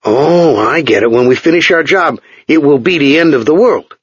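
A man's voice: on the high side, lively intonation, normal speed, slightly rough, no room sound, voice pushed up. A man voicing a weary, morose engineer who grumbles in a dry, unimpressed way in a storybook reading. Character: weary, morose male engineer far from home. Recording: dull lo-fi dry recording.

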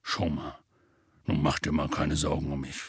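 A man voicing a big, good-natured half-giant in an audiobook, with a gravelly, raspy voice and a hesitant, colloquial drawl. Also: very deep voice, completely flat delivery, moderate pace, no room sound, studio quality, voice pushed down, full character voice. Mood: tired, reassuring. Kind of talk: audiobook character voice.

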